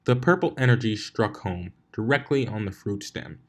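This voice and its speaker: A man with a fairly low voice reads fiction aloud. He works as the narrator, delivering scripted prose between the characters' lines. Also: animated, normal speed. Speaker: fan fiction reader, narrator.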